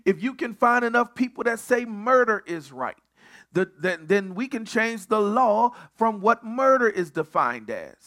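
A man's voice: high-pitched, big pitch swings, measured pace, a bit bright. A male pastor preaching at a midweek Bible study, building on repeated phrases and often stumbling over restarts.

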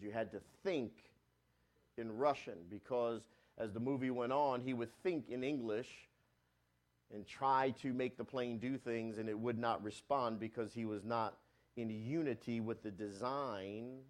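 A man pastor preaches at an even middle pitch. His delivery surges loud and high on key points, then sinks into quiet, low asides. He restarts and repeats phrases as he goes. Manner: swells louder on key points and drops low and soft on asides. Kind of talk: sermon.

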